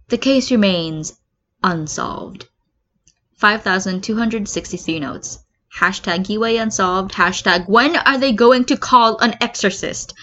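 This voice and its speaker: A woman's voice: medium pitch, a bit bright. Woman narrating fiction, slightly dull recording. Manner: acts out comments and quotes. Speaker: fan fiction reader. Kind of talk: scripted narration of a comedic story.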